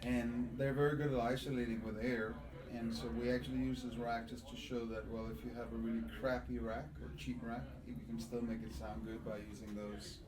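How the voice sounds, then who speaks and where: A man's voice: medium pitch, flat delivery, fairly quick, few pauses, a crowd in the background. A man giving an earnest, conversational product presentation as an audio distributor. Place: small demo room at an audio show. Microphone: binaural microphone at a distance. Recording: echoey room, distant from mic.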